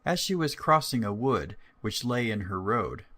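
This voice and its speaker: A man's voice: on the low side, expressive, moderate pace, bright, forceful tone. A man narrating a scripted fairy tale as a storyteller.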